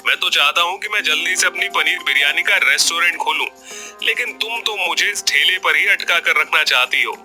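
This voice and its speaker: A greedy village street-food seller for a cartoon tale. The voice is very bright and forceful, a little high, with lively swings in pitch. He talks smoothly and emphatically, with music playing behind. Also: brisk. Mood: scornful, argumentative. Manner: animated, emphatic, expressive delivery. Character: greedy, smooth-talking village biryani seller, married man. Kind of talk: Hindi cartoon story voice acting.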